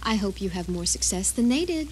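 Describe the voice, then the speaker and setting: A woman playing a bitter, vengeful captor in an audio drama, speaking with sardonic, deliberate menace. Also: mid-range voice, sing-song, natural voice. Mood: taunting, menacing. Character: vengeful doomed female captor, bitter, taunting, coldly menacing. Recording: faintly noisy radio drama recording.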